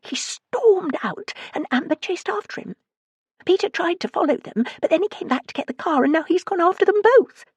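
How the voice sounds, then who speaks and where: A woman's voice: very high-pitched, steady tone, quick pace, hardly any pauses, no echo, pitched above the performer's own voice. A woman voicing a frightened young woman who is breathless and near hysterical with tears. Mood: frightened, tearful.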